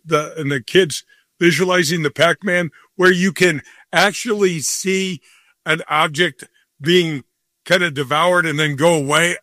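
A man's voice: on the high side, bright, forceful tone, no room sound. A man in his sixties hosting a casual interview, asking friendly questions that ramble and restart. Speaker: host.

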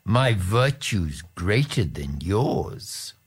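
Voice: low-pitched, very animated, very slow, breathy edge, pitched below the performer's own voice, exaggerated voice. A narrator doing a cool, witty cat princess.

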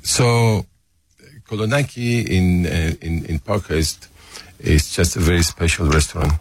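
An older man with a Greek accent, a restaurateur talking haltingly about his career in an interview. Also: deep voice, dry recording. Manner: halting, restarts, self-deprecating. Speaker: restaurateur guest. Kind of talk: casual interview.

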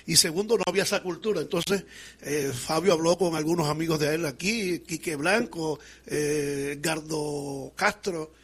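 A man's voice: on the high side, moderate pace, a bit bright, husky. A middle-aged man with a Caribbean Colombian accent, reminiscing conversationally as a panelist in a sports talk. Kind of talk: conversational reminiscing about football.

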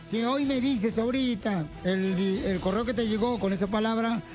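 A male radio host with a strikingly high voice runs the show in a loud, teasing manner. He jokes and ribs his callers from a radio studio, with faint music playing behind him. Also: moderate pace. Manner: loud, joking, teasing, bursts into shouts and laughs. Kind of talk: rowdy radio call-in banter.

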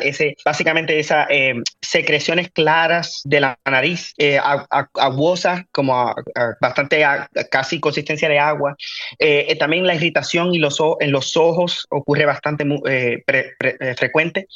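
This is a young male family doctor with a gentle Puerto Rican accent. His voice sits a bit high. He explains things patiently but keeps restarting words and filling pauses, and the sound is somewhat dull and lo-fi. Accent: Puerto Rican, mild. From Puerto Rico. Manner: hesitant, many restarts and fillers. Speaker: family physician guest. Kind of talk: casual interview talk.

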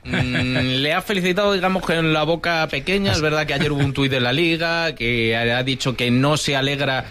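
A man's voice: on the high side, moderate pace, hardly any pauses, bright, forceful tone. A man working as a sports radio reporter, giving a conversational news report.

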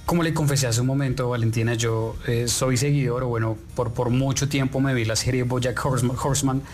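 A man's voice: medium pitch, steady tone, brisk, a little mellow, faint music behind. A man interviewing a guest, asking long questions with hesitant restarts. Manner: hesitant, many fillers and restarts.

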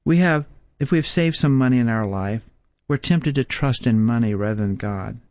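A man in his fifties giving a sermon as a Bible teacher, speaking slowly and emphatically with repeated rhetorical questions. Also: medium pitch, darkish tone, no echo, studio quality. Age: 50s.

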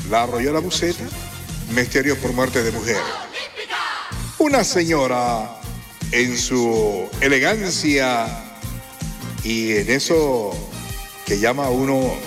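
Male Colombian morning news presenter, high voice, dramatic colloquial asides, music bed underneath. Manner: theatrical, drawn-out phrases and rhetorical asides. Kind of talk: lively, colloquial morning radio headlines.

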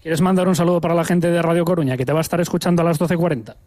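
Fast-talking upbeat man reporting on location. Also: fairly high pitch, steady tone, a little mellow. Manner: upbeat, chatty, quick interviewer. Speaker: field reporter. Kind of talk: live radio reporting from an event. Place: indoor room with children.

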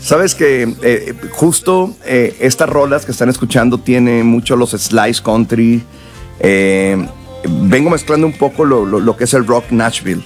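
Relaxed man, rambling. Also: mid-range voice, a little slow, soft background music. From northern Mexico and South Texas. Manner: relaxed, rambling, frequent fillers and restarts. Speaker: musician guest. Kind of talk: casual interview chat.

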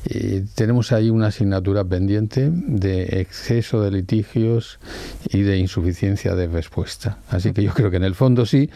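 An older man from Spain whose first language is Valencian speaks in a low, warm tone. He answers at a measured pace, pausing with fillers and posing rhetorical questions. The sound is dry and close. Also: gravelly, no echo. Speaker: interviewee, law professor and judge. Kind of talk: reflective interview answers.

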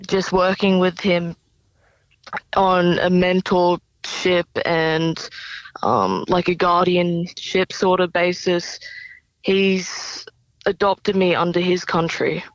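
Slow, soft-spoken young woman. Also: fairly low pitch, steady tone, no echo. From Australia. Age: young adult. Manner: soft-spoken, hesitant, with many fillers. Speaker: singer-songwriter guest. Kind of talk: radio interview. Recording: dull remote line.

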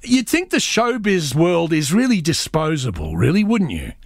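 A man's voice: a little high, big pitch swings, normal speed, dry recording, studio quality. A man hosting a casual radio chat, playful and teasing, breaking into bits of song. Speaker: radio host.

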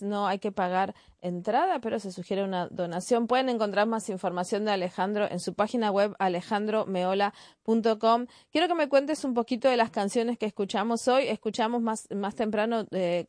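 A woman's voice: medium pitch, a little mellow, no echo, clean studio sound. A woman hosting a conversational music interview. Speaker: radio interview host.

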